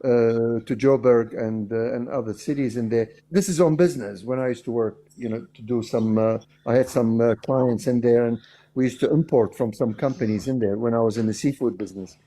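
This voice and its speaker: A man's voice: medium pitch, fairly quick, dark tone, slight background noise. A man in casual conversation on a livestream, explaining things in a rambling way and repeating himself. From Australia (resident). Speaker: livestream discussion participant. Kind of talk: casual chat. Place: livestream call.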